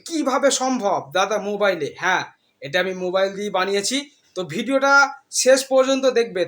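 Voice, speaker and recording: A man's voice: high voice, fairly bright tone. A man hosting a casual step-by-step tutorial, instructing his viewers like friends. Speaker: tutorial host.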